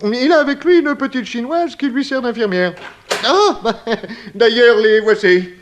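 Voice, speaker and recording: An actor playing an effusive, tearful, gossipy lodger in a 1960s French radio play, with florid, hesitant, theatrical speech. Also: male voice, very high voice, measured pace, slight background noise. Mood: eager.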